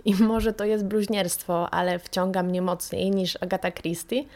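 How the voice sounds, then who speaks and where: A woman's voice: mid-range voice, little pitch movement, moderate pace, darkish tone. A woman presents a radio book review in an enthusiastic, conversational way as the host. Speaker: radio host recommending books.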